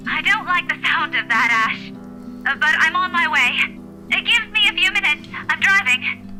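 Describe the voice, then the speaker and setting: A very high-pitched young woman speaks with worry, as a character in a visual novel game. Music plays clearly behind her. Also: expressive, normal speed, low-quality recording. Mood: hesitant, uneasy. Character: worried young woman on a phone call.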